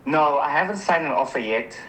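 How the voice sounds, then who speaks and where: A woman's voice: low-pitched, expressive, a little fast, fairly bright tone, low-quality recording. A woman from Namibia with a South African accent, a client who talks hesitantly, repeating words and agreeing readily. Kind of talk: casual phone consultation.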